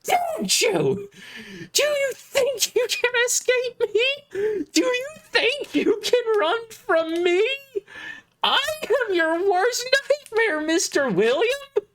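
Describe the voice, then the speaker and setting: A man voicing a deranged, hateful businesswoman villain with a shrill, theatrical, screeching delivery, in an audio story. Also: animated, a bit bright, slight background noise, full character voice. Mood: screeching hatred. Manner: theatrical, menacing, drawn-out taunts.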